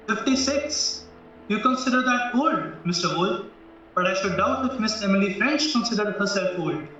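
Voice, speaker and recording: A man acts out a probing defence barrister in an audio drama, shrewd, formal and deliberate. His voice is high and forceful. The recording is dull and very echoey, as if far from the mic, with music behind. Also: lively intonation. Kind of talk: stage-style courtroom audio drama acting.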